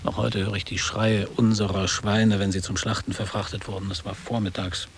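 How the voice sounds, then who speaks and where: An actor playing a middle-aged former boarding school pupil in a radio drama, blunt and reminiscing in measured, literary phrases. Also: male voice, lowish voice, level tone, slight background noise, natural voice. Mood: wistful reminiscing.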